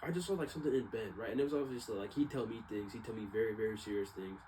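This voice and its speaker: A young man with a fairly low voice talks through his thoughts in a casual vlog style. His speech is slangy and loose, circling back over points and trailing off. Noticeable ambient noise sits behind him throughout. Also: rapid-fire, dark tone. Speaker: vlogger. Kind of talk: casual rambling personal monologue. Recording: clearly noisy background ambience.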